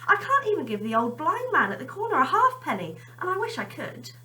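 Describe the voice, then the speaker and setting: A woman's voice: extremely high pitch, very animated, a little fast, pushed and bright, voice pushed up, heavily acted. A woman reading a storybook, voicing a poor, polite and earnest little boy. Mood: earnest, wistful.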